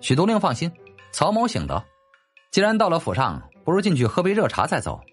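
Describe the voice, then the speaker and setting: A shrewd young lord with a head for business, acted by a male narrator. His delivery swings around in pitch, polite yet playful, in a slightly husky audiobook voice. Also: brisk, faint music behind, exaggerated voice. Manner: animated, courteous, salesmanlike.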